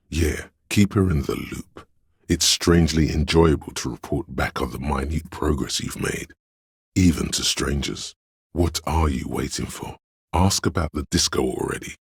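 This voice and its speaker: A detective's imagination speaks up as a grandiose, wry inner muse. The voice is bottomlessly deep and heavily gravelled, recorded dry and close. It delivers literary lines deliberately, with portentous, theatrical pauses. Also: male voice, no room sound, full character voice. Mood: wry, critical. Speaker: video game voice actor. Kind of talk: theatrical, literary game narration.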